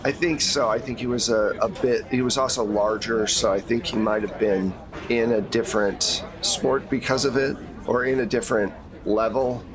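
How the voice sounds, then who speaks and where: A man's voice: medium pitch, steady tone, normal speed, a crowd in the background. A man acting as game master, giving a conversational, explanatory briefing in a tabletop roleplay.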